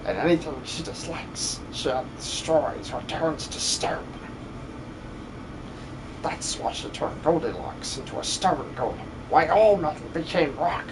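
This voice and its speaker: A man voicing a greedy, fawning, treasure-obsessed creature in a game dub, muttering and hissing with a breathy voice. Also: a little high, lively intonation, unhurried. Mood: sly, resentful. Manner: hissing, muttering, repeats yes and precious. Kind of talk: amateur video game dub.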